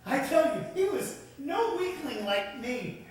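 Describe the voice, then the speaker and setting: A woman's voice: sits high, big pitch swings, unhurried, a little mellow. A woman voicing a joyous young man who can newly see. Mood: excited. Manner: breathless, tearful, ecstatic delivery. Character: young man, born blind, newly sighted, joyful and earnest. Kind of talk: old radio drama acting. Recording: echoey, distant old radio recording.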